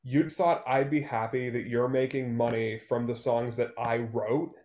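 A man's voice: mid-range voice, steady tone, unhurried, a little mellow, lightly acted. A young man playing a bitter, heartbroken songwriter, curt and guarded, in a play read over video call. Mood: resentful. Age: young adult. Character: Liam, bitter, heartbroken male songwriter, guarded toward former love. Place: plain-walled room at home, indoors.

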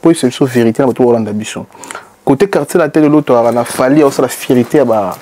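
A man's voice: mid-range voice, quick pace, dark tone, slight background noise. A young man interviewing a guest in a small studio, asking questions and cutting in often, on a lapel mic. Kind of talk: casual interview chat. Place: small indoor studio set.

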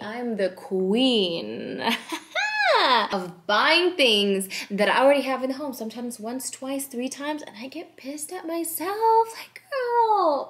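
A woman's voice: on the high side, fairly bright tone, a little hiss or hum. A woman in her twenties chatting casually to camera in a lifestyle vlog, upbeat and full of "like" fillers. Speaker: lifestyle vlogger. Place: home kitchen and car.

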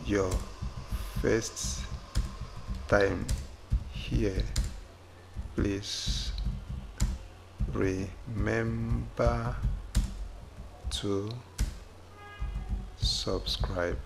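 A man walking viewers through a software tutorial, often repeating himself and checking in with "okay?". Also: fairly low pitch, steady tone, drawn-out words, vocal fry, long pauses, background noise. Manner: repetitive, with frequent "okay?" checks. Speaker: tutorial host. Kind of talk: screen-recorded software tutorial. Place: indoors at a computer, voice-over on screen capture.

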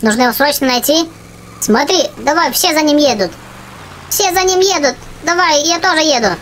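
A woman hosting a gaming channel for children, giving chatty, excitable running commentary on her play. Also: high voice, moderate pace, slight background noise, low-quality recording. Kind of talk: casual game commentary for children. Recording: game music behind the voice. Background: video game music.

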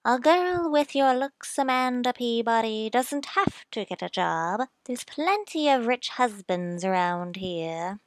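An actress puts on a society matron in a period radio play. She is pushy and gushing, forever matchmaking, in a high voice with a dry, close sound. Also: animated, slow pace, few pauses, no echo. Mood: gushing, scheming. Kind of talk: old-time radio drama acting.

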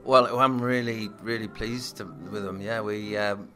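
A low-pitched man speaks in a flat, level tone, stumbling and restarting as he answers an interviewer. Music plays clearly behind him. He talks as a musician describing his work. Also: a little slow. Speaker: musician and studio owner interviewed.

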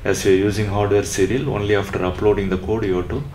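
A man narrating a coding tutorial step by step, unscripted, in a halting way. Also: lowish voice, steady tone, darkish tone. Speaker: electronics tutorial presenter. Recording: roomy echo with faint background noise.

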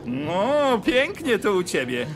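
An actor playing a cheerful, carefree young friend in a radio drama, chattering in a breezy, exclamatory way. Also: male voice, sits high, wildly swooping pitch, takes it slowly, exaggerated voice. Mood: delighted.

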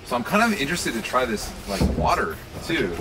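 Guy chatting outside, asks lots of questions, really lively pitch, loud chatter around him. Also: brisk, low-quality recording. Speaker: podcast member on a road trip. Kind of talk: casual chat. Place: outdoors on a restaurant deck.

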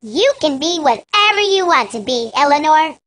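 A woman's voice: sits high, big pitch swings, normal speed, a bit bright. A woman voicing a confident, bossy young girl animal in a children's learning game. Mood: encouraging. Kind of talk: children's educational game acting.

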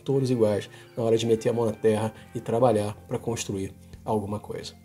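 A man's voice: lowish voice, steady tone, fairly quick, warm tone, faint music behind. A Brazilian man in his forties giving an informal, emphatic opinion monologue to camera in colloquial, slangy speech. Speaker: vlogger. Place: small home office, indoors.

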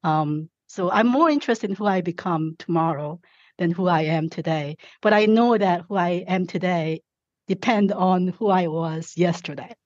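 A woman from South Korea with a Korean accent, a podcast guest telling her story in a thoughtful, measured way. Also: lowish voice, a little mellow, no echo, highs cut off. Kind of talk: reflective interview talk.

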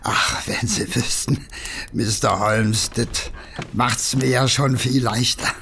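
An actor playing a humble, nervous working-class messenger with a Berlin accent, rambling and hesitant in colloquial speech, in an audio drama. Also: male voice, medium pitch, fairly even intonation, takes it slowly, vocal fry, pushed and bright, slight background noise, exaggerated voice. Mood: hesitant, embarrassed. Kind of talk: German audio drama acting.

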